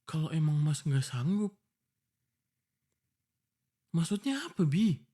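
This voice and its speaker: Man acting exasperated jealous fiancé, widely swinging pitch. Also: very animated, slow, dark tone, heavily acted. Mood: defensive, exasperated. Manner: emotional, argumentative, pleading, full of pointed questions. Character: exasperated, jealous young Indonesian fiancé arguing with his partner. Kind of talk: boyfriend ASMR roleplay acting.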